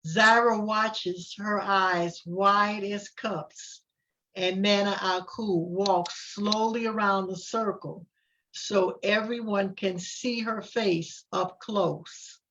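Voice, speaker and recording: An older woman reading a children's picture book aloud in simple, deliberate short phrases. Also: medium pitch, fairly even intonation, a bit bright, dull audio. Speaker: volunteer storybook reader.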